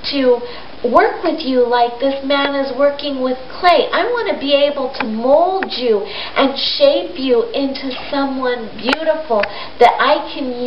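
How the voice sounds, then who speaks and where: A woman voicing a kindly male shepherd who teaches patiently and earnestly in a children's story. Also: high voice. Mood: earnest, tender. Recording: roomy echo, dull sound, faint noise.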